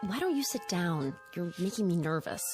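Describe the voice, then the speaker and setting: A woman's voice: on the low side, very animated, moderate pace, music in the background. An actress in a radio drama playing a cool, educated, resigned woman, articulate and dryly disdainful. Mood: subdued, resigned.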